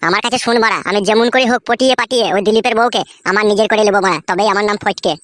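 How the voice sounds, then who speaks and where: A woman's voice: medium pitch, fairly even intonation, rapid-fire, fairly bright tone, close to an everyday voice. A woman voicing a boastful, lecherous, foul-mouthed bachelor who schemes in a comedy cartoon. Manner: crude, swaggering, foul-mouthed.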